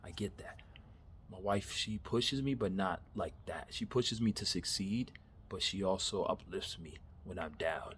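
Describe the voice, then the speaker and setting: Man in his thirties, low voice, casual self-recorded pep talk outdoors, loose and candid. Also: a little slow, fairly bright tone, slight background noise. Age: 30s. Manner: rambling, candid, laughs at himself, swears casually. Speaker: vlogger. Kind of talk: casual motivational monologue. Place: backyard.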